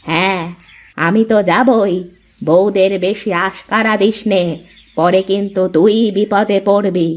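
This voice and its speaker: A woman's voice: medium pitch, fairly even intonation, measured pace, close to an everyday voice. A woman voicing a stern, demanding older mother-in-law in a fairy-tale cartoon, nagging and admonishing.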